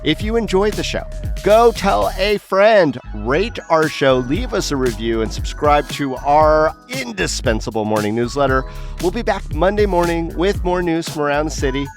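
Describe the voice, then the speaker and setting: A man's voice: on the high side, expressive, moderate pace, faint music behind. A man hosting a podcast in a casual, joking, playful way with his fellow panelists. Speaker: podcast host. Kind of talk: casual panel chat and show sign-off.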